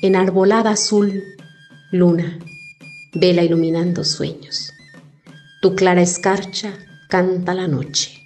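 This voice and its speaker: A woman poet from Chiapas, Mexico, whose first language is Zoque, reciting her poems in a slow, measured way. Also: lowish voice, fairly even intonation, over music. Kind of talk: poetry recitation.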